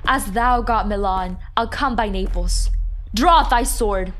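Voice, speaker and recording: A woman's voice: mid-range voice, a little slow, a bit bright, natural voice. A woman playing an ambitious, eager royal conspirator in a Shakespearean radio play, with theatrical delivery. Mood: conspiratorial.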